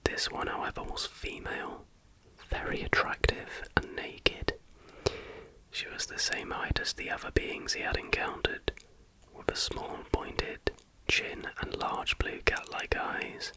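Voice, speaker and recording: Male ASMR host, breathy unvoiced whisper throughout, soft and deliberate storytelling. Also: poor audio.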